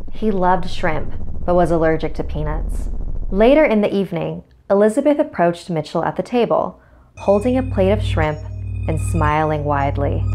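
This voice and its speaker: Woman host telling creepy tales, lowish voice, bit of music behind her. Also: lively intonation, normal speed, mellow. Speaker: host and narrator of a scary story series. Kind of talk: scripted horror narration.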